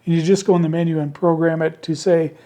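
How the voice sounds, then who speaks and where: Male host, high mellow voice gone hoarse, easygoing rambling delivery, home radio shack. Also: little pitch movement, fast. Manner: easygoing and rambling, homespun phrasing. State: hoarse, worn-out voice. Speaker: ham radio channel host selling handmade keypads. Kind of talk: casual product talk to camera. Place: indoor radio shack with computer behind.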